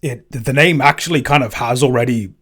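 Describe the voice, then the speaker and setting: A man chatting casually as a podcast writer in a Q&A, speaking in a halting, hesitant way with stammered word starts. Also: mid-range voice, a bit bright. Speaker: podcast writer and co-creator answering listener questions. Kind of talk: casual Q&A chat.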